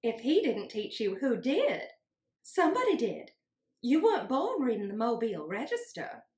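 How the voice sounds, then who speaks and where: A woman's voice: a little high, wildly swooping pitch, normal speed, a little mellow, roomy sound. Woman acting a prim, singsong schoolteacher lady. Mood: condescending, firm. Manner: sugary, patronizing. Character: prim, condescending female schoolteacher in a story. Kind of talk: dramatic book reading.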